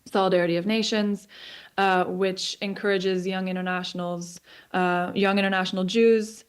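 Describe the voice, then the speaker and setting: A young woman in her twenties speaks over a remote video link, her voice smooth and clear at an ordinary middle pitch. She talks in a considered way, with frequent ums and small restarts. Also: little pitch movement, moderate pace. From Israel, raised in the US. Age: 20s. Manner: thoughtful, many ums and restarts. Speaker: guest speaker. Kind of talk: personal talk over video call. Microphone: remote video call.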